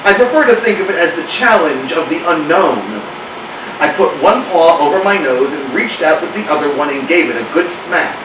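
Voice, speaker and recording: A man's voice: on the high side, animated, normal speed, lightly acted. A man voicing a dramatic, self-pitying house cat telling a tale in an emphatic, theatrical way. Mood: earnest, dramatic. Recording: roomy, distant mic, clear hum.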